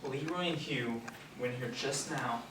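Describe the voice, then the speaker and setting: An actor playing a meek, earnest junior writer in a stage play, speaking hesitantly and sounding easily intimidated. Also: male voice, mid-range voice, measured pace, lightly acted. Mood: anxious, aggrieved. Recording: echoey stage, distant mic, clear noise.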